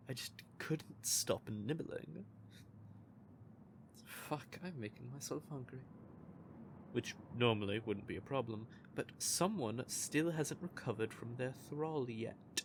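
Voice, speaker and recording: A man's voice: mid-range voice, slow pace, noisy background. Man playing a smug teasing vampire. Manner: teasing, smug, playfully menacing. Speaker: vampire character in an audio roleplay. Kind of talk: audio roleplay monologue.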